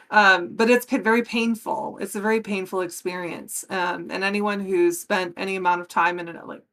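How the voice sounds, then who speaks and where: A woman's voice: lowish voice, a bit bright. An American woman in her forties speaking as a podcast guest in casual conversation, earnest and emphatic. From United States. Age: 40s.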